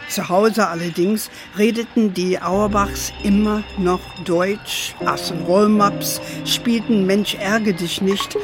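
Slow-paced old grandmother, acted by a woman, gentle, wily, storytelling manner, children's audio drama, music behind. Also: mid-range voice, fairly even intonation, close to an everyday voice. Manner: unhurried storyteller, sprinkles in English endearments. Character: gentle, wily old grandmother who tells family stories. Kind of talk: German children's radio play storytelling.

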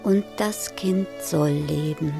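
Radio play chorus: grave female narrator, mellow dark tone, sparse phrasing, faint music underneath. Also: sits low, slow pace, gravelly, full character voice. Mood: somber. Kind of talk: literary radio drama narration.

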